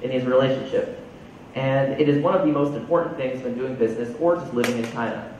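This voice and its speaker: A man's voice: fast, a little mellow, far from the mic. A young man from New Jersey with an American accent, giving an earnest, conversational stage talk that switches between English and Mandarin, speaking into a headset mic on a large auditorium stage. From United States. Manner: earnest, conversational, repeats words when restarting. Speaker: conference speaker. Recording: boomy hall sound, muffled, faint rumble.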